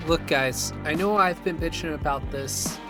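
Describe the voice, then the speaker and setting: A man's voice: a little high, moderate pace, lightly acted. A man playing a blunt, grumbling young housemate in a naturalistic audio drama, talking casually. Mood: earnest, frank. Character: grumbling but committed young man among haunted housemates. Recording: music under the dialogue. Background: music.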